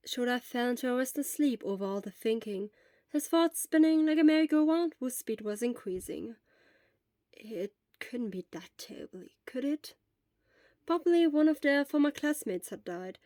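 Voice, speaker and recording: Soft-spoken woman narrating a story. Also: fairly high pitch, lively intonation, normal speed, dry recording, clean studio sound. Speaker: fan fiction reader.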